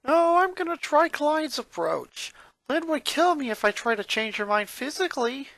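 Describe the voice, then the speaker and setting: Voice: high voice, big pitch swings, normal speed, fairly bright tone, no echo, pitched above the performer's own voice, full character voice. A story reader voicing an anxious, scheming boy who speaks hesitantly and carefully. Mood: resigned. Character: anxious, scheming middle-child boy, tentative and sheepish.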